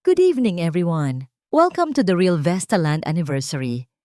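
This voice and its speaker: A Filipino-accented woman voicing a vengeful wronged wife in an audio drama, firm, deliberate and authoritative. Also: fairly low pitch, big pitch swings, darkish tone, no echo, studio quality. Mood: cold, commanding. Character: commanding, vengeful wronged wife turned corporate owner.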